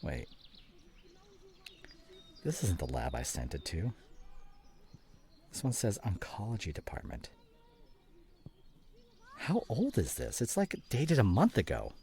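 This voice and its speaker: A man acting a loving, grieving husband and father in an emotional ASMR audio drama, halting and tender, often dropping toward a whisper. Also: lowish voice, huge pitch swings, warm tone, exaggerated voice. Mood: shocked, anxious. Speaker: audio roleplay performer.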